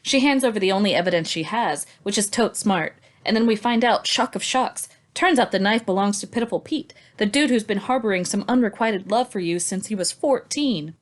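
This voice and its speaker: A woman's voice: medium pitch, lively intonation, fairly quick, few pauses. A woman hosting a book discussion in a sardonic, casually sweary way. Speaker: podcast host.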